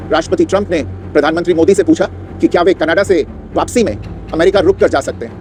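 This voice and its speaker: A man reading a prepared official statement in a formal, deliberate, emphatic manner. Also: a little high, darkish tone, a little hiss or hum.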